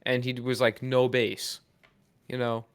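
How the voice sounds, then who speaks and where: A man's voice: medium pitch, flat delivery, measured pace, smooth, no room sound. Man, podcast co-host, informal and joking, mid-range voice, dry close sound. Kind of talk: casual podcast discussion.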